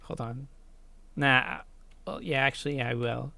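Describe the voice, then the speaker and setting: A woman's voice: a bass voice, level tone, a little slow, vocal fry, sharp tone, no echo. A woman hosting a let's play, reading visual novel narration and adding casual gaming commentary, close on the mic.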